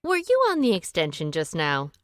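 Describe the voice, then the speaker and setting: An actress playing a proud, secretive businesswoman who speaks briskly and tartly in a radio play. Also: on the low side, huge pitch swings, dry recording, clean studio sound. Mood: defensive. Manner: brisk, tart and defensive. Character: sharp, proud woman business owner, secretive. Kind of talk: radio drama acting.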